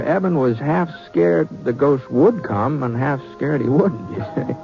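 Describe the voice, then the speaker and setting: A folksy yarn-spinner of the Old West, halting and conversational, with a medium-pitched voice. He comes through a slightly dull vintage radio recording with faint music. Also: vocal fry. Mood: amused reminiscing. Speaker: narrator. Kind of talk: old-time radio drama narration.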